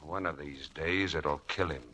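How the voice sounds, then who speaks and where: An actor playing a charming, evasive young husband with smooth, persuasive patter, in 1940s radio drama style. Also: male voice, extremely low pitch, a little slow, loud music behind the voice. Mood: grim calm. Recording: noisy old 1940s radio broadcast.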